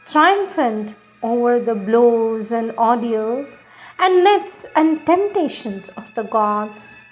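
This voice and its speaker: A woman reading spiritual texts aloud in a solemn, measured, deliberate devotional manner. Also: fairly high pitch, low-quality recording.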